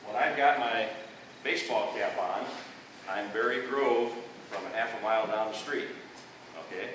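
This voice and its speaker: A man's voice: fairly low pitch, normal speed, a bit bright, distant mic, a little hiss or hum. Folksy Midwestern man in echoey room. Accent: Midwestern American. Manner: folksy, checks in with "okay?" often. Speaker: re-enactor and teacher. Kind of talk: classroom history presentation. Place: school room.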